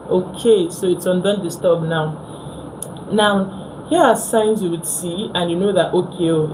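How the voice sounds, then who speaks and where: A woman's voice: lowish voice, noisy background. A young Nigerian woman with a Nigerian accent, hosting a casual phone live stream, chatting with viewers and repeating herself.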